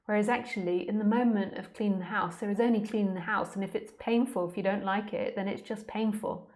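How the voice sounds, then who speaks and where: A woman's voice: medium pitch, steady tone, a little fast, a little mellow. A woman speaking as a spiritual teacher in an informal question-and-answer talk, candid, plain-spoken and conversational.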